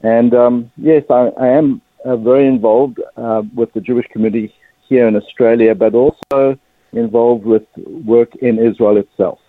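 A man in his fifties with a South African accent, giving a measured personal account as an interview guest, pausing mid-sentence. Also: mid-range voice, steady tone, no room sound. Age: 50s. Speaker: interview guest, religious ministry leader. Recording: narrow phone-like line.

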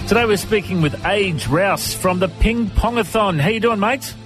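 Friendly radio host, conversational, faint music underneath. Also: male voice, high-pitched, moderate pace. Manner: conversational, relaxed questions.

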